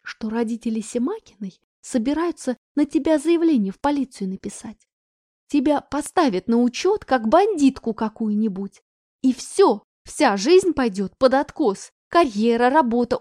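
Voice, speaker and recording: A woman voicing an anxious middle-aged mother who scolds her daughter in a shrill, rising voice. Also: animated, normal speed, mellow, husky, dry recording, studio quality. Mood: scolding.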